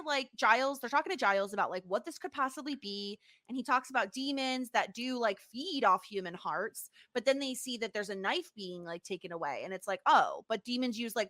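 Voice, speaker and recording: A woman co-hosting a casual podcast recap, retelling scenes with lively quoted asides. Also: medium pitch, moderate pace, hardly any pauses. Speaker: podcast co-host. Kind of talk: casual chat, TV episode recap.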